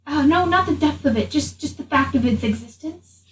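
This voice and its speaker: A woman's voice: high-pitched, lively intonation, normal speed. A woman playing a tabletop game, roleplaying in a casual, conversational way. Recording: roomy distant mic, faint hiss.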